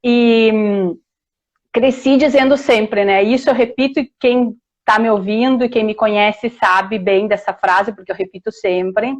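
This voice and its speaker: A middle-aged southern Brazilian woman whose voice sits a little high and stays warm as she chats. She keeps circling back with restarts and little tag questions. It sounds like a video call. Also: fairly even intonation, a little slow, muffled recording. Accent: southern Brazilian Portuguese, Rio Grande do Sul. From Rio Grande do Sul, Brazil. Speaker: historian and author guest. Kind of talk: casual interview storytelling.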